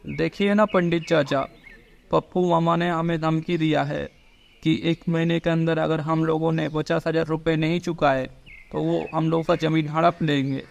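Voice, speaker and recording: A man's voice: on the high side, flat delivery, moderate pace, gravelly. A man voicing an earnest, dutiful, pleading teenage village son in a cartoon story. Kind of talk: cartoon moral story dialogue.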